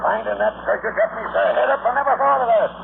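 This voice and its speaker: On a noisy vintage radio recording, a greedy, gravelly sea captain blusters and commands. His salty talk swings widely in pitch, with a menacing edge. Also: wildly swooping pitch, fast talker, full character voice. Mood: excited, bossy. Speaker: actor in a radio drama. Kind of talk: old-time radio drama acting. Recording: noisy, narrow-band old radio recording.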